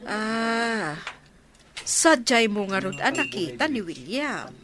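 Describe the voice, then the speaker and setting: A woman's voice: a little high, big pitch swings, takes it slowly, crowd noise. A woman with an Ilocano accent playing an inquisitive, frank mother in a village radio drama. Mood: curious.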